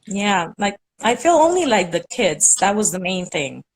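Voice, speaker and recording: A woman's voice: medium pitch, sing-song, a little slow, rough recording. A young woman from Puerto Rico with a Puerto Rican accent, Spanish her first language, chatting on a video call and rambling with many fillers. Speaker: caller on a live stream. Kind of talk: casual chat.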